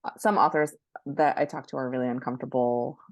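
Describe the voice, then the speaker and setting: Female expert guest, low register, conversational explainer delivery, dry recording. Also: fairly even intonation, fairly quick, a little mellow, dry recording. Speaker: guest book marketing expert.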